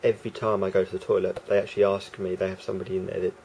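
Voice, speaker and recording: A man with a croaky voice talking casually to camera as a vlogger, rambling and hesitant with many fillers and restarts. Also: low-pitched, little pitch movement, racing, a little mellow, slight background noise.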